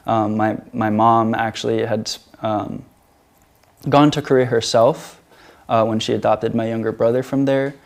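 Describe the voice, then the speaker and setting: Low gravelly young man, hesitant. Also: a little mellow. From United States. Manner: hesitant, many fillers and restarts. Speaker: featured artist, guest. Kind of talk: casual interview answers.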